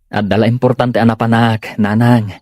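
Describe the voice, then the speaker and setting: A man's voice: mid-range voice, little pitch movement, normal speed, darkish tone, dry recording, clean studio sound, natural voice. A man acting an earnest, devoted young suitor of simple means in an Ilocano drama. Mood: dismayed, defiant. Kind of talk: Ilocano comedy radio-style drama acting.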